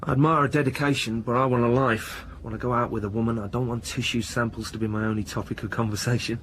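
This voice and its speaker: A man's voice: medium pitch, fairly even intonation, quick pace, a bit bright, slight background noise, lightly acted. A man playing a jaded, flirtatious university researcher in a radio crime drama, informal and sardonic.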